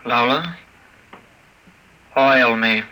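A man's voice: medium pitch, drawn-out words, bright, forceful tone, a little hiss or hum, rough recording. A man playing a naive, lonesome robot in an old-time radio drama, speaking in a simple, literal, questioning way on a vintage recording. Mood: childlike wanting. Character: childlike lonesome male robot, naive and obedient.

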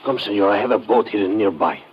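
An actor playing an earnest, deferential police sergeant and guide in an old-time radio adventure. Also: male voice, fairly low pitch, fairly quick, close to an everyday voice. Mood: earnest, helpful. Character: eager, deferential Latin American male police sergeant and guide. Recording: muffled, very noisy vintage radio recording.

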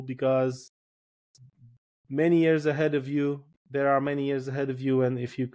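Polish-accented man, flat and measured. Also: mid-range voice. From Poland. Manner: thoughtful, repeats words while searching for phrasing. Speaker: guest, firefighter colonel. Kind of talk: casual podcast interview.

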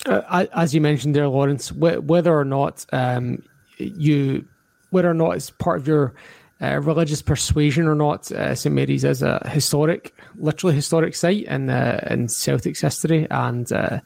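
A man with a Scottish accent that mixes Glasgow and Highland sounds, hosting a casual football podcast over a video call in a relaxed, filler-heavy way. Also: normal speed, gravelly, no echo. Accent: Scottish, mix of Glasgow and Highland. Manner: relaxed, frequent uh fillers, signing off the show. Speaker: podcast host. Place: hot room abroad, video call.

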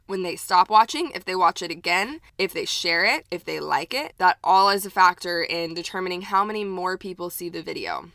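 A woman's voice: lowish voice, pushed and bright, no room sound. A young woman with a light Texan accent, hosting her own podcast in an upbeat, chatty way with plenty of fillers. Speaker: podcast host. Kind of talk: casual solo podcast talk.

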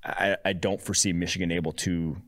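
A man's voice: low voice, little pitch movement, fairly quick, fairly bright tone. A man hosting a sports podcast, giving casual, conversational analysis of games.

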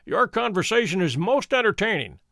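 A man voicing a quiet, unflappable detective with a bland, wry manner. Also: high voice, very animated, a little fast, creaky, dry recording, clean studio sound, full character voice. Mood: calm. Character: calm, amused male detective, unflappable.

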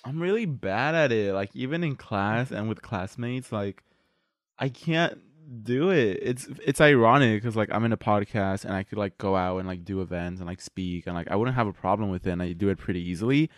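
A man's voice: lowish voice, brisk, no echo, clean studio sound. A young man speaking as a podcast host in casual, slangy chat. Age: young adult.

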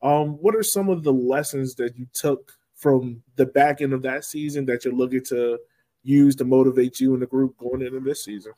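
A man's voice: mid-range voice, fairly even intonation, normal speed, warm tone, no echo. A man hosting a podcast, asking questions in an informal, slangy way. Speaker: podcast host, interviewer. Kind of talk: casual chat interview.

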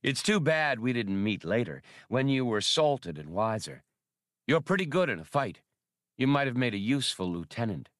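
A man's voice: medium pitch, big pitch swings, normal speed, fairly bright tone, no room sound, clean studio sound. A man reading an audiobook, voicing a condescending gunman villain with formal, menacing courtesy. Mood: smug amusement. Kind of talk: audiobook character dialogue.

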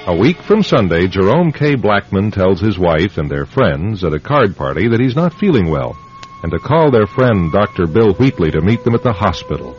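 A man's voice: on the low side, sing-song, moderate pace. A man delivering scripted narration for an old-time radio drama. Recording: dull lo-fi old radio recording. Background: faint music.

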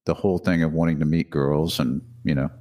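A man who is the guitarist, singer and songwriter of a band, answering interview questions in a halting way with many fillers. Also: very deep voice, steady tone, mellow. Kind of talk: casual interview talk.

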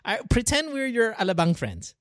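A man hosting a call-in talk show, animated and laughing often, mixing in Tagalog. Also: high-pitched, moderate pace, no room sound, studio quality. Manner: animated, laughs a lot, mixes Tagalog into English. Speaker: host.

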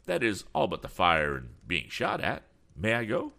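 Fluttery, high-pitched fussy older gentleman. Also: huge pitch swings, moderate pace, creaky, full character voice. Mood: excited, eager. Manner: fluttery, exclamatory, nervously chattering. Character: excitable, fussy, eccentric older gentleman with quaint exclamations.

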